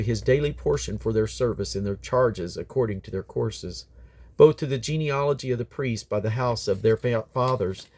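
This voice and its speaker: A man reading aloud from the Bible as a scripture reader, sometimes halting and correcting himself on difficult words. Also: mid-range voice, darkish tone. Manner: halting over difficult names, stumbles and self-corrects.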